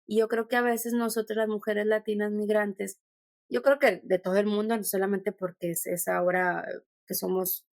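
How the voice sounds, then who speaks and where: A woman's voice: mid-range voice, little pitch movement, moderate pace, creaky, no room sound, studio quality. A Mexican woman with a Mexican accent, a podcast guest talking thoughtfully and meandering, with many restarts. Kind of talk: conversational podcast interview.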